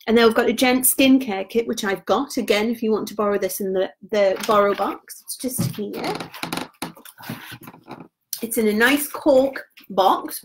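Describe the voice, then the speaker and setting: A woman, chatting casually on a live stream about gift sets, her voice going hoarse. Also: mid-range voice, low-quality recording. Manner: chatty and informal, trails off quietly. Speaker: beauty product consultant.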